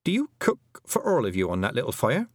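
A man's voice: mid-range voice, wildly swooping pitch, fairly quick, no room sound, clean studio sound. A man voicing a young girl in a storybook reading, earnest, anxious and insistent, with urgent questions. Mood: polite.

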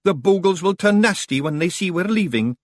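A man voicing a small, wild male fairy warrior chieftain with a Scottish accent, loud and hearty. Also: sits high, animated, fairly quick, dry recording, voice pushed up. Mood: boisterous.

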